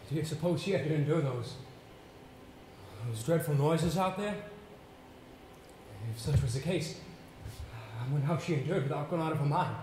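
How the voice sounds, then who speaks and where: Haunted, insistent man played by a male stage actor, higher-pitched voice, echoey noisy theater recording. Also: moderate pace, pauses often, dark tone, close to an everyday voice. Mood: anxious, insistent. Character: Arthur, anxious, insistent man haunted by dreadful memories. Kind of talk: live amateur stage play acting.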